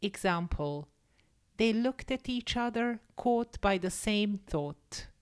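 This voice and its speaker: A woman reads as a teacher would for language learners. Her pace is slow and her pitch sits in the middle for a woman. She explains carefully, in a measured, scripted narration style. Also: lively intonation, clean tone. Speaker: English teacher and story reader. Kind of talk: scripted story narration and vocabulary lesson.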